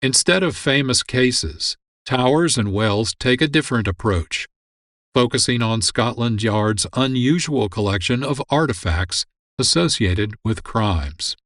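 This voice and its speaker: A man's voice: mid-range voice, lively intonation, unhurried, a bit bright, no room sound, studio quality. A man presenting a radio show as host, reading a scripted introduction.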